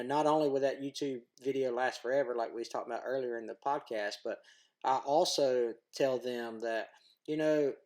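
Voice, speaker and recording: Man, plain-spoken, casual guest chat, quick to laugh. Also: mid-range voice, a little mellow. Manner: plain-spoken, laughs easily, self-deprecating. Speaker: guest, YouTuber. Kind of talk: casual conversation.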